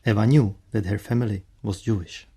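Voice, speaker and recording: A man's voice: lowish voice, steady tone, a little fast, mellow, husky. A man reading scripted narration as the narrator of a radio play.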